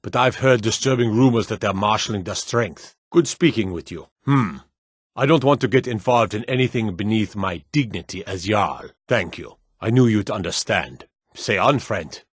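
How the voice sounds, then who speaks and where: A man playing a dignified, courtly lord with a formal, measured way of talking in a fantasy video game. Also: lowish voice, animated, husky, dry recording, studio quality.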